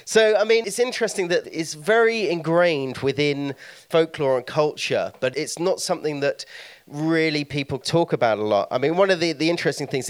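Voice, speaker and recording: A man's voice: fairly high pitch, no room sound. A man giving an informal talk on folklore as a guest speaker.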